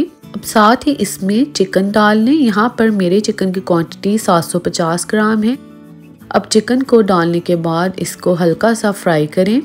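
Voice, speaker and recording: A woman with a Pakistani Urdu accent hosting a cooking channel, giving steady step-by-step recipe instructions in a voice-over. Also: medium pitch. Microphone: voice-over, off camera.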